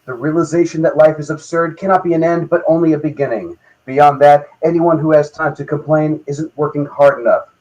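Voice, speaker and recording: A man hosting a podcast, reading scripted bits and interviewing guests in a deadpan, profane comic manner. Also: fairly high pitch, brisk, hardly any pauses, lo-fi sound. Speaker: podcast host. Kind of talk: scripted show monologue and band interview.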